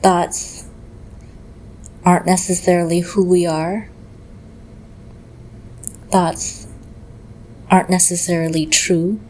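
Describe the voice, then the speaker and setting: A woman leading a guided meditation in a gentle, measured way, leaving long pauses between phrases. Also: fairly low pitch, little pitch movement, slight background noise.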